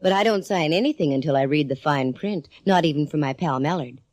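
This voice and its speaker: A woman's voice: sits low, fairly quick, a little mellow, no room sound, studio quality. A woman playing a sassy, wisecracking private eye who narrates a 1940s radio drama. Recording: old radio broadcast with music.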